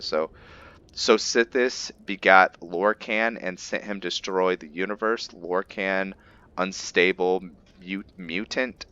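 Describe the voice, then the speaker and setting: Slow, deep-voiced man reading game lore. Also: sharp tone, clear, resonant tone. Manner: reads haltingly, stumbling over fantasy names. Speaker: gameplay roleplay streamer. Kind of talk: reading game lore aloud and commenting on gameplay. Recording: slightly dull lo-fi audio.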